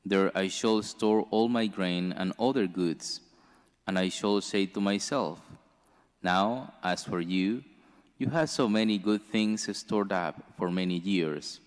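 Deep-voiced priest in a reverberant church. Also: male voice, clear, resonant tone. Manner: slow, measured delivery with careful pauses. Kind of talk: gospel reading and homily. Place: church sanctuary. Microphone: stand mic at a lectern.

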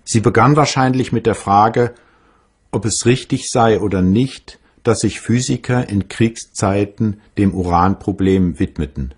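Slow, husky, grave physicist. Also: male voice, fairly low pitch. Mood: grave, measured. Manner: measured, careful, formal. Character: grave male physicist recounting his wartime past. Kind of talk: documentary dramatized reading of memoirs.

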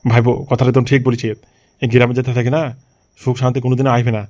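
A man's voice: mid-range voice, flat delivery, rapid-fire, darkish tone, close to an everyday voice. A man voicing a troubled, grumbling villager in an amateur cartoon. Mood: resigned agreement. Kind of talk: amateur funny cartoon dub.

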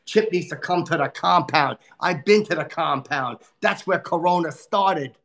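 A man playing a crude, boastful, short-tempered male comic, cursing and griping as he hosts a livestream in a nasal, gravelly put-on voice. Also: a little high, unhurried, fairly bright tone. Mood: crude ranting. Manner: profane, insulting, exasperated rants. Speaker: livestream host. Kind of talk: livestream comedy character rant.

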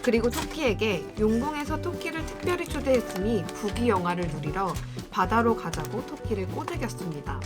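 A woman's voice: on the high side, lively intonation, moderate pace, hardly any pauses, loud music behind the voice. A woman co-hosting a show, moving between casual chat and simple storytelling read aloud. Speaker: co-host who reads the fairy tale.

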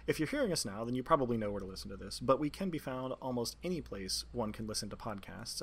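A man's voice: medium pitch, breathy edge. A man narrating as game master and hosting a tabletop role-playing podcast.

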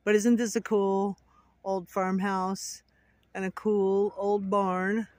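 A woman's voice: medium pitch, flat delivery, slow, a little mellow, no room sound. A woman vlogging casually outdoors by a rural winter road, filming on her phone and chatting to viewers as y'all. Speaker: travel vlogger. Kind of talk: casual narrated road trip vlog. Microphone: phone camera held by the filmer.

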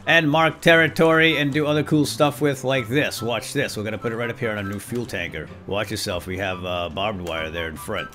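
Man, folksy and chatty gaming host, bright forward voice, casual play-by-play over music. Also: on the low side, fairly even intonation, fairly quick, hardly any pauses. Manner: folksy, chatty, talks directly to viewers. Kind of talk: casual gameplay commentary with light role-play.

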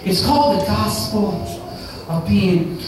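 A chaplain who grew up in West Africa, preaching a guest sermon in a folksy way, with direct questions to the congregation. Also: male voice, high voice, lively intonation, takes it slowly, background noise. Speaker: chaplain and pastor. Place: church sanctuary. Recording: echoey church room from far off with music.

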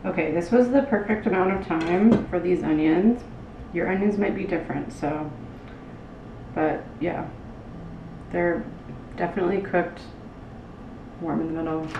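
A woman with a fairly low voice talks through her cooking in a loose, halting, casual way. She is some distance from the mic, so the kitchen's echo comes through clearly around her words. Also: lo-fi sound. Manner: loose, halting chat with frequent "like". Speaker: cooking show host. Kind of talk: casual cooking demonstration, talking while working. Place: small indoor kitchen with tiled floor. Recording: roomy, distant mic with loud room noise.

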